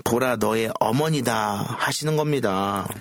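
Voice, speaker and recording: A man's voice: medium pitch, a little slow, fairly bright tone, no echo, studio quality. A man speaking as a pastor, explaining Bible passages in a conversational lesson. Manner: explanatory, quotes scripture. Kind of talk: Bible teaching in a conversational group discussion.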